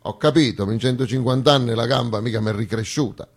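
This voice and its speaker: A man's voice: medium pitch, fast, slightly rough. A man playing the South personified as a weary, plaintive one-legged underdog, plodding and stubborn in a comic stage dialogue. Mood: quietly accusing. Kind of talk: theatrical comic monologue dialogue.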